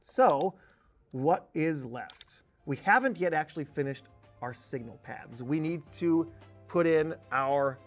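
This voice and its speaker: Guy, kinda high voice, walking thru software like a training video, informal and explainy. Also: lively intonation, slow, faint music behind. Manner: explanatory, informal, uses spoken examples. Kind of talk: conversational screen-share tutorial.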